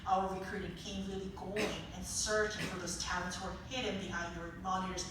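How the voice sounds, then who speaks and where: A woman's voice: lowish voice, flat delivery, fairly quick. A woman recruiter introducing herself on a panel, earnest and a little hesitant. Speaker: talent acquisition head. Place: conference hall. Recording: echoey hall, distant mic, noisy.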